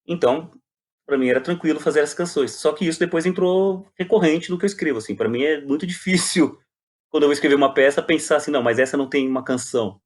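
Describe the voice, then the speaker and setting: A man's voice: fairly high pitch, quick pace. Brazilian man, reflective guest in conversation. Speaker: playwright and poet guest. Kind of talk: casual reflective interview talk.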